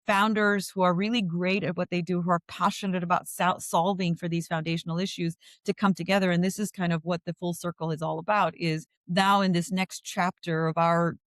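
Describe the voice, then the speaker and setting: A woman's voice: on the low side, fairly even intonation, dry recording. Articulate Indian-born woman, dry sound. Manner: measured, articulate, long flowing sentences. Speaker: podcast guest, VC fund co-founder. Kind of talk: interview conversation.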